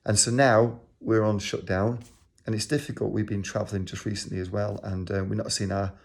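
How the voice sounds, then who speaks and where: A man's voice: lowish voice, level tone, a little fast, vocal fry. An older man with a Manchester accent, telling a personal story to the camera in a rambling way, with many ums and uhs. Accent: Manchester English. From England. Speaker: organisation leader.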